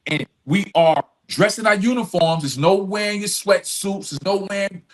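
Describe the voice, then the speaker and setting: A man's voice: high voice, moderate pace. A middle-aged man being interviewed, telling personal stories candidly and informally, repeating phrases for emphasis. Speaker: interview guest.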